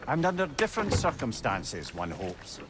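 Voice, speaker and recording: An actor playing a witty, loyal advisor in a video game, with a Scottish accent and a wordy, wry, teasing manner. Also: male voice, mid-range voice, wildly swooping pitch, normal speed, background noise. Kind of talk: dramatic video game acting.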